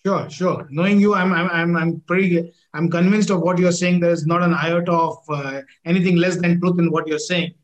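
High-pitched Indian man hosting an interview. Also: steady tone, normal speed, hardly any pauses. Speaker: interview host, former air force officer. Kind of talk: conversational interview.

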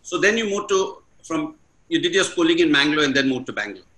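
A man's voice: on the high side, sing-song, fast, sharp tone, reverberant. A man hosting an interview, friendly and curious, laughing along and phrasing things loosely. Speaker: interview host. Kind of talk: casual interview chat.

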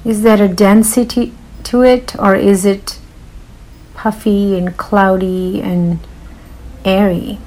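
A woman leading a guided meditation in a soothing, unhurried manner with long pauses. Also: medium pitch, a little mellow, rough recording.

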